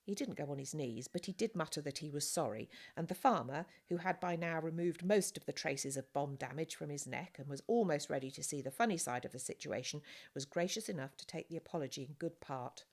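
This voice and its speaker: A woman with a low-pitched voice narrates a children's audiobook. Her delivery is scripted and expressive, in the manner of a story read aloud. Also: brisk, hardly any pauses. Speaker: audiobook reader.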